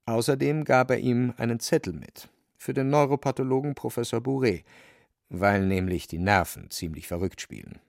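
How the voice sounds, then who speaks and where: A gravelly male narrator of middling pitch, recorded close and dry. He reads literary prose for a radio drama in a scripted storytelling style. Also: moderate pace, a little mellow, dry recording. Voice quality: creaky, dry.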